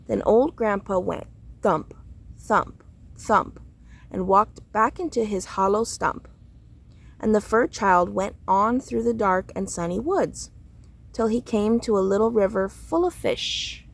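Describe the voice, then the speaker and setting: A woman narrates a children's picture book at a slow, unhurried pace. Her delivery is expressive and deliberate, with a noticeable creak in the voice. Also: medium pitch. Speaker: storybook reader. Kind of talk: read-aloud children's story narration.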